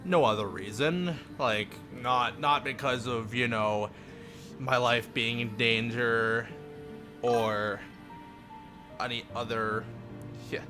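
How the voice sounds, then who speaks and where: A man live streaming a visual novel with casual, animated, self-deprecating and profane commentary. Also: mid-range voice, slow pace, pauses often, music in the background.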